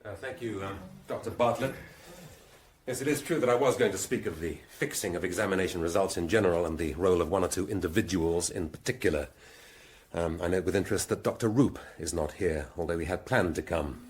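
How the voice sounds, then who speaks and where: An actor playing a shrewd, commanding police inspector with an English accent, speaking deliberately and theatrically in a British radio drama. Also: male voice, low-pitched, a little hiss or hum, close to an everyday voice. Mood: measured, authoritative.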